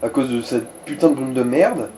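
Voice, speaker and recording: Echoey mic w faint noise, dude, soft mellow tone, dry slangy gaming chat. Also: male voice, mid-range voice, moderate pace. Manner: wry, slangy, swears. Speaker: let's player and co-commentator. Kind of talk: casual gameplay commentary.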